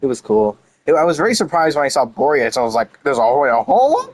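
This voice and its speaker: A man's voice: on the high side, sing-song, fast talker, soft background music. A man streaming a game, giving casual commentary in a rambling, hesitant way.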